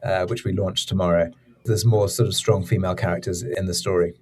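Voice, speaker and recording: A man's voice: deep voice, steady tone, brisk, darkish tone, slightly rough. A man speaking as a drama director being interviewed, explaining things conversationally with loose, informal phrasing. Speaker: audio drama director and executive producer, interview guest.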